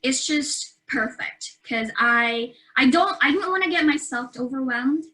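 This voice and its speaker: Bright-voiced young Filipino woman student. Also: high voice, measured pace. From Philippines. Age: young adult. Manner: chatty, with fillers and light laughs. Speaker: university student. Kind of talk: casual webinar introduction.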